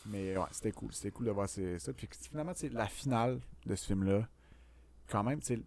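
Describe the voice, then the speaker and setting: Quick-talking, low-voiced Quebec French man. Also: creaky, a little mellow. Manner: colloquial, informal. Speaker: podcast host. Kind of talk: casual film discussion.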